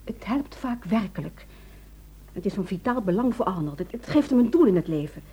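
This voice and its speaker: An actress in a Dutch radio drama playing a worried, determined wife who speaks in an earnest, pleading way. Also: female voice, mid-range voice, animated, fairly quick, dark tone, husky. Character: worried, determined wife seeking help for her secretive husband.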